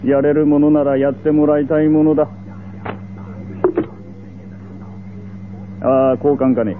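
An actor playing a proud, pompous middle-aged medical association president in a Japanese radio drama. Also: male voice, a little high, flat delivery, fairly quick, noisy background, close to an everyday voice. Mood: scornful. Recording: tinny old radio recording.

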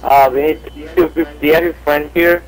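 A man chatting casually in an online game, talking haltingly and repeating himself. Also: fairly high pitch, fairly even intonation. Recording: faintly noisy game voice chat.

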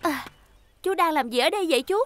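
A woman's voice: high voice, lively intonation, fast talker, a bit bright, soft background music. A woman voicing a polite, concerned young girl helper in a Vietnamese cartoon dub.

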